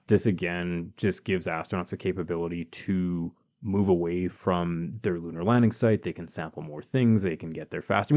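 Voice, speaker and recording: A Canadian man with a lowish, mellow voice talks through engineering news in a relaxed podcast chat, filling pauses with um and you know. The sound is a little dull and lo-fi. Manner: explanatory, chatty, with many ums and you-knows. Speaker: podcast co-host.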